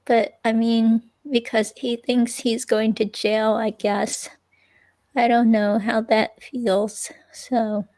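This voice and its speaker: A woman playing a hesitant, uneasy young ex-girlfriend giving a police statement in a dramatic transcript reading, halting and full of fillers. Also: fairly high pitch, flat delivery, darkish tone. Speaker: reader playing an interviewee.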